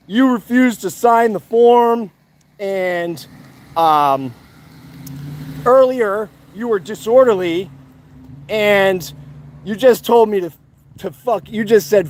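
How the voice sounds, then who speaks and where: A man giving a defiant, profane monologue to his viewers, loud and emphatic, repeating his points. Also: sits high. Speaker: activist channel host.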